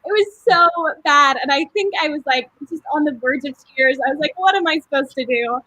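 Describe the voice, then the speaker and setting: A young woman in a cast reunion telling a story in a casual, animated way, with plenty of "like" and "um". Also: sits high, brisk, no echo, low-quality recording. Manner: animated, with many fillers. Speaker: cast member.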